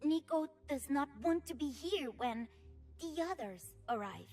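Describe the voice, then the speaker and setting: A woman's voice: high-pitched, lively intonation, a little slow, darkish tone, soft background music. A woman voicing a playful, childlike and tender shapeshifting chameleon creature in a video game, speaking in simple broken sentences about herself in the third person. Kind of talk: video game character voicelines.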